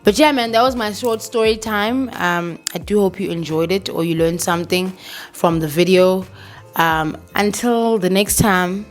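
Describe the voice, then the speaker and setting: A South African woman in her thirties talking candidly to camera in a casual vlog, slipping in local words and swearing now and then. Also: lowish voice. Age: 30s. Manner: candid, code-switching, swears, laughs. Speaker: vlogger.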